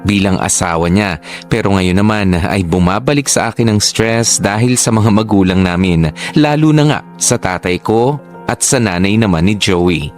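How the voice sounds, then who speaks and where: A radio drama narrator, a man, reads a personal letter as a scripted story. His voice is on the low side, with faint music underneath. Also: normal speed, lightly acted. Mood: somber.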